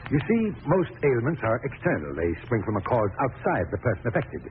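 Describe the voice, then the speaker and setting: An actor playing a smooth, condescending, crooked doctor in an old radio drama, with polished, patronising speech. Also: male voice, medium pitch, sing-song, fairly quick, close to an everyday voice. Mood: smooth, probing. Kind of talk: 1940s radio drama acting. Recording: noisy narrow-band vintage radio recording.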